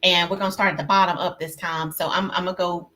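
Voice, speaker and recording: Woman host, bright forceful voice, lowish pitch, effusive, filler-heavy live-stream chat. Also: fairly even intonation, quick pace. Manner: effusive, repeats herself, lots of fillers. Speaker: host of a live online panel. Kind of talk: casual live-stream hosting. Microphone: video call.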